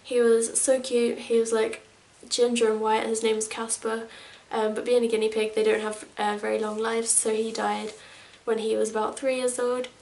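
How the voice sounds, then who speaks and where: A woman's voice: on the high side, completely flat delivery, normal speed, creaky, few pauses, darkish tone. A woman in her twenties from England, chatting casually to camera as a vlogger, rambling with lots of fillers. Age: 20s. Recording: echoey room, mic at a distance.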